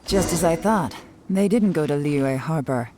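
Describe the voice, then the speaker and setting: Low-voiced, shrewd intelligence operative. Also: female voice, expressive, normal speed, noisy background. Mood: knowing, assured. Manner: composed, confident, knowing. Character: cool, shrewd adult female intelligence operative. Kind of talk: video game character acting.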